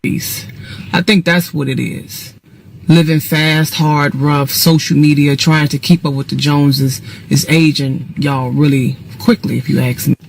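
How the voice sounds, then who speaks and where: A woman's voice: low voice, fairly even intonation, measured pace, gravelly, a little hiss or hum. A woman in her late thirties giving a frank, matter-of-fact opinion in a casual video. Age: 30s. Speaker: commenter in a clip.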